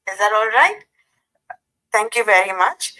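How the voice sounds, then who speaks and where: A woman moderating a panel event, polite and brisk, with a few fillers as she moves things along. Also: mid-range voice, expressive, sharp tone.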